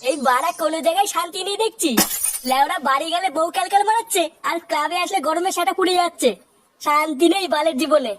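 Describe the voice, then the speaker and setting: A performer with a pitched-up voice plays a grumpy, foul-mouthed married man in a crude comedy cartoon. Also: fast, fairly bright tone, faint music behind. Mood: exasperated. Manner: coarse, swearing, complaining.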